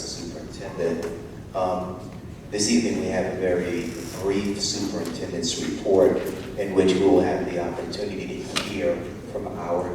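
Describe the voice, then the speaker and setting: A man giving the superintendent's report at a school board meeting, formally introducing the new principal. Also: low-pitched. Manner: formal and measured. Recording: echoey hall from far off, noisy.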